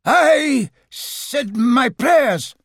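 Storybook ghost of a late uncle: very high, slow, drawn-out and menacing, swooping widely. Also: male voice, animated, a bit bright, breathy edge, no echo, studio quality, heavily acted. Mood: hesitant. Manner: drawn-out, wailing warnings. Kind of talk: storybook character voice.

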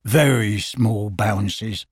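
A man voicing a gloomy old grey donkey in a storybook reading, husky, mournful and deadpan. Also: medium pitch, slow, no echo, studio quality. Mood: dour.

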